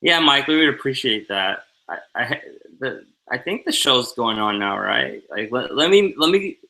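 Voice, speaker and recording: A man's voice: mid-range voice, lively intonation, normal speed, gravelly, sharp tone, low-quality recording. A tired man co-hosting a loose, joking live-stream talk show from home over a video call on a headset. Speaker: co-host. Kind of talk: casual live-stream chat.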